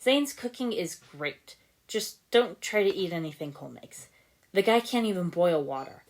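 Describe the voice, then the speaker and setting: A woman voicing a chatty, excitable and friendly teenage male ninja in an audiobook story. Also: on the low side, moderate pace, pitched above the performer's own voice. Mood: chatty, amused. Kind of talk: fan fiction audiobook character voice.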